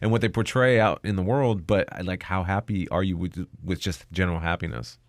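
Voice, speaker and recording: A man's voice: low-pitched, steady tone, brisk, no room sound. A man hosting a radio show, chatting in a laid-back, musing way in a studio. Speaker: radio host. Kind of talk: casual radio chat.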